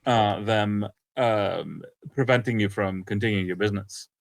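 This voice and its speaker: A man co-hosting a podcast in casual, unscripted discussion, haltingly searching for his words and repeating phrases. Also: lowish voice, steady tone, creaky, no room sound. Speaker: podcast co-host.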